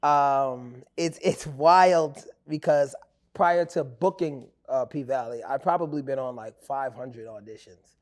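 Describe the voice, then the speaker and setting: A man, telling stories as an actor guest in a casual interview. He rambles in an animated way with many fillers. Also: mid-range voice, a little slow. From New York. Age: 20s.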